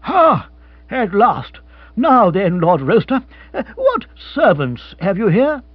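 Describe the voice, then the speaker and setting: A man's voice: sits high, huge pitch swings, slow pace, husky, dry recording, dull audio, pitched above the performer's own voice, heavily acted. A man reading an audiobook, voicing a stiff, pompous older chief constable with a clipped, officious manner. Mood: stern.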